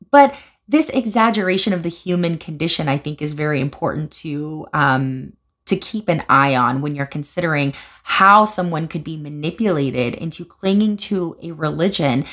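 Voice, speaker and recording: A young woman with a slightly low voice speaks to camera from a couch at home. She explains her views in an animated way, gesturing as she talks and pausing on fillers and restarts. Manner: thoughtful with frequent fillers and restarts. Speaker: video essayist. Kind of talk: casual commentary vlog. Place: living room, seated on a couch indoors.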